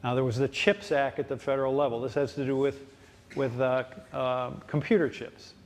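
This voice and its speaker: A man's voice: mid-range voice, flat delivery, normal speed, darkish tone. A man answering residents' questions at a public town hall meeting, explaining at length with frequent fillers and restarts. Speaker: city official at a ward town hall.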